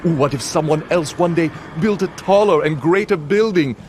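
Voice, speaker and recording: An actor playing a sinister contest master in a video game, narrating dramatically in a theatrical, grandiose, measured manner. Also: male voice, fairly high pitch, darkish tone, a little hiss or hum, lightly acted. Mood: mocking storytelling.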